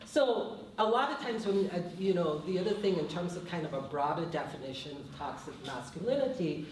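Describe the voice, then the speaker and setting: A woman's voice: fairly low pitch, expressive, fairly quick, darkish tone, a little hiss or hum. Guyanese-accented woman professor, thoughtful panelist, distant mic in a very echoey room. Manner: thoughtful, often adds "right?". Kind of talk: panel discussion talk.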